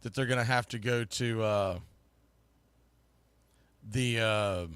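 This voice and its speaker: A man's voice: lowish voice, steady tone, slow, a bit bright, clean tone, dry recording. A man hosting a sports show, talking to viewers in a loose, informal, rambling way and often correcting himself. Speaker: host of a sports podcast and play-by-play streamer.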